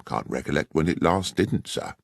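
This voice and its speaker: A man's voice: very deep voice, animated, moderate pace, vocal fry, no echo, full character voice. A man reading aloud, voicing a respectful, matter-of-fact railway station master. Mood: dutiful. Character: middle-aged male railway station master, deferential.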